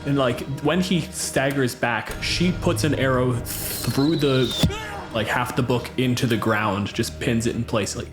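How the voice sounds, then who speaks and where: A man's voice: mid-range voice, unhurried, music in the background. A man narrating and bantering as game master, with a sweary, teasing manner. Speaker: game master and podcast host.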